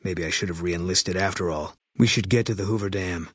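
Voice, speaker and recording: A bitter, grieving ex-soldier sniper from a video game, who talks in short, clipped, grim lines. His voice is very low and husky, and it sits close and dry with no room sound around it. He stays guarded and curt, a middle-aged man who gives little away. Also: brisk, a bit bright, dry recording.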